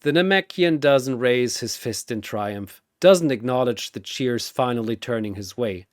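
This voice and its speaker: A man narrates a scripted story with theatrical flair. His delivery is slow and suspenseful, with widely varied intonation and a dry, close sound. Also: mid-range voice, lively intonation, no echo. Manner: theatrical, short suspenseful phrases.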